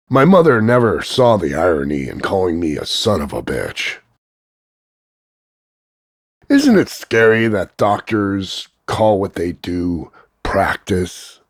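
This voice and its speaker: A man voicing a cocky, crude macho action hero, gravelly and husky, delivering smug deadpan one-liners in a slow drawl of punchlines. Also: low-pitched, dry recording, clean studio sound, exaggerated voice. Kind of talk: voice-over demo of insults and one-liners.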